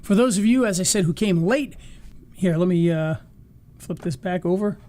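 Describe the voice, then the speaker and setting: A man's voice: high voice, moderate pace, mellow. A man hosting a live marketing session, talking loosely and rambling with restarts, starting to lose his voice. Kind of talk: casual live-stream wrap-up.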